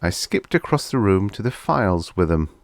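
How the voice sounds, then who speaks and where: A man's voice: lowish voice, big pitch swings, normal speed, vocal fry, no room sound. A man reading vintage fiction aloud as an audiobook narrator, in a scripted storytelling style.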